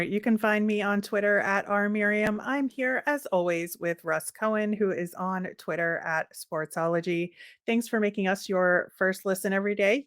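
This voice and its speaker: A woman's voice: medium pitch, a bit bright, no room sound, studio quality. A woman co-hosting a sports podcast, talking casually about the game.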